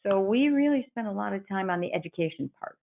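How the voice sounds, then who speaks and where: A woman's voice: medium pitch, animated, fast talker, gravelly. A woman, an insurance advisor, patiently explaining Medicare options in an interview and quoting her clients. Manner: patient, explanatory, quotes clients in their own words. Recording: tinny phone-like line.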